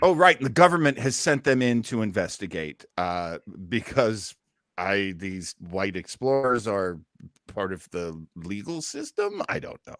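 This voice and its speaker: A man's voice: lowish voice, very animated, measured pace, fairly bright tone, no echo. A man hosting a listening party with casual live commentary and jokey, hesitant asides.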